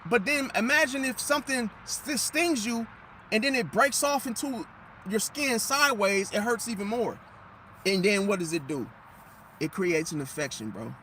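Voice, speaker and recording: A man, his high voice worn slightly hoarse, lectures with a preachy, emphatic rhythm. He keeps circling back to his point and asking questions he answers himself. Faint street traffic hums behind him. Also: normal speed, pushed and bright. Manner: preachy, emphatic, repeats phrases and asks rhetorical questions. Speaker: astrology commentator. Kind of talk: casual talking monologue to viewers.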